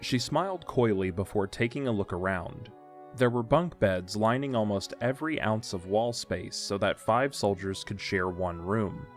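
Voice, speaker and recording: A man with a fairly low voice narrates a fantasy story. His delivery is measured and literary, with faint music running underneath. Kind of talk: scripted audio drama storytelling.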